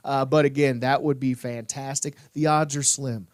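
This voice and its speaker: A man's voice: medium pitch, steady tone, moderate pace, dry recording, studio quality. A man hosting a sports podcast, joking around playfully with lots of "uh" fillers. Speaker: podcast host. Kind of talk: casual sports podcast chat.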